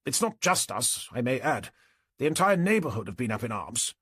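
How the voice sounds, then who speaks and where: A man's voice: mid-range voice, big pitch swings, normal speed, fairly bright tone, slightly rough, no room sound. A man voicing an indignant, pompous middle-aged neighbour in an audiobook, with a clipped British accent. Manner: pompous, exasperated.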